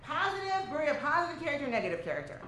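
A woman lecturer with a fairly high voice guides a class through a religious text. She is emphatic and conversational, often checking in with short tag questions. The room is echoey with noticeable noise behind her. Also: racing. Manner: animated, emphatic, peppers talk with "right?" and "okay?"; switches between English and Aramaic or Hebrew quotation. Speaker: teacher leading a class.

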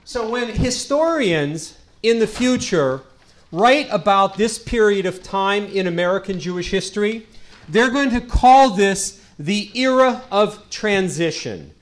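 A middle-aged man, a rabbi, giving a lecture to a community audience into a handheld microphone, with a loud voice and a habit of repeating key lines for emphasis. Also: sits high, animated, measured pace. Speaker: rabbi and guest speaker.

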